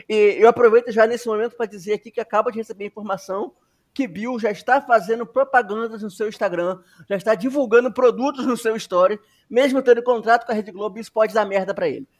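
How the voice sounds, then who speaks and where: High-voiced man, laid-back and slangy. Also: fast talker. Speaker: podcast co-host. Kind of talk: casual chat.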